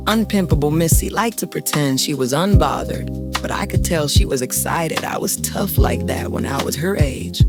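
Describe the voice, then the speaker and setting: Middle-aged woman, dramatic audio storyteller, deliberate and confiding, poetic phrasing, emphatic pauses, clear music underneath. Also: low-pitched. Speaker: storyteller narrating in first person.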